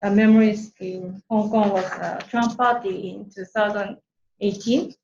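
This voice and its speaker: Slow, hesitant Japanese-accented woman, echoey room. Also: medium pitch, fairly even intonation, a little mellow, muffled recording. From Tokyo, Japan. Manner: hesitant, searching for words in a second language. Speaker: workshop speaker. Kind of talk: informal online presentation. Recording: roomy echo, clear background noise.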